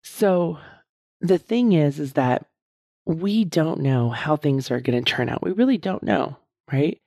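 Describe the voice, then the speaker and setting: Middle-aged female podcast host, hoarse and husky, low mellow tone, close dry mic, earnest coaching delivery. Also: lively intonation, dry recording. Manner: earnest, encouraging, repeats key points slowly. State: hoarse, strained voice. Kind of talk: casual solo podcast talk.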